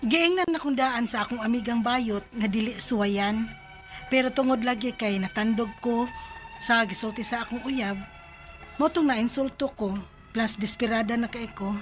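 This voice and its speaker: A woman's voice: fairly high pitch, normal speed, soft background music, natural voice. An actress playing an anxious young female office worker in a radio drama, speaking with a Cebuano accent. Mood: troubled narrating. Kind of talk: Filipino radio drama acting and narration.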